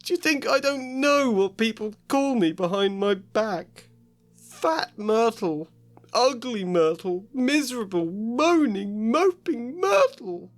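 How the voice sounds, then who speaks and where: A man's voice: very high voice, steady tone, takes it slowly, hardly any pauses, voice pushed up. A man voicing a glum, tearful teenage ghost girl who sulks and wails. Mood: sulky, tearful. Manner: sulky and tearful, wailing.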